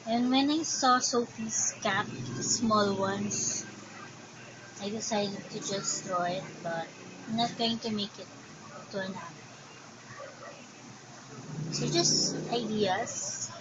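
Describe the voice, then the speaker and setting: Filipino woman, crafting vlogger, unhurried and hesitant, dull home recording with steady hiss. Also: medium pitch. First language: Tagalog. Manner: slow, hesitant, thinking aloud. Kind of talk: casual show-and-tell. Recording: dull lo-fi sound with background noise.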